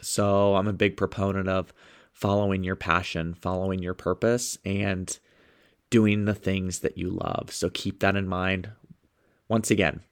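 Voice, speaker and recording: A young man hosts his own motivational podcast, sounding stuffed up and a bit sniffly from a cold. His voice sits on the low side, and he talks with eager, upbeat energy as he coaches listeners on good habits. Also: level tone, a little slow. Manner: upbeat, enthusiastic. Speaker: podcast host and sales coach.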